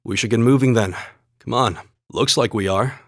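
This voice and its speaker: A man voicing a calm, courteous and earnest young male student fighter in a video game, speaking in a measured, polite way. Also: mid-range voice, no room sound, clean studio sound. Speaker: video game character voice actor.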